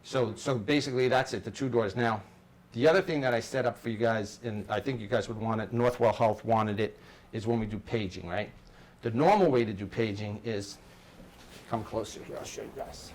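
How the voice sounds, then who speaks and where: A man's voice: fairly low pitch, fairly quick. A man explaining equipment to staff in an informal hands-on demonstration, step by step and often repeating his phrases. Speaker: installer training staff on an intercom system. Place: indoor room at a workplace. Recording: noisy room, ambient background hiss.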